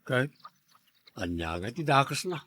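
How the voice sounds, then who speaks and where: A man's voice: mid-range voice, very animated, a little slow, bright, forceful tone, exaggerated voice. A man playing a henpecked, hard-drinking husband in an audio drama, grumbling and protesting in a defensive manner. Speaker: voice actor in a drama. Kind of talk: Ilocano audio drama acting.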